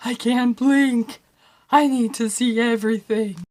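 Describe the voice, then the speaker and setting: A woman's voice: on the high side, fairly even intonation, unhurried, darkish tone, natural voice. A woman voicing a frantic, intense storybook maid who can never blink.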